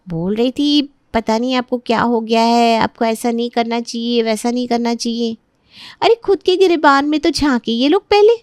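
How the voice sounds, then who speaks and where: High-voiced resentful young daughter-in-law, acted by a woman. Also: moderate pace, darkish tone, clear, resonant tone, no room sound, studio quality, natural voice. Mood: gossiping, aggrieved. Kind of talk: dramatized Hindi family story acting.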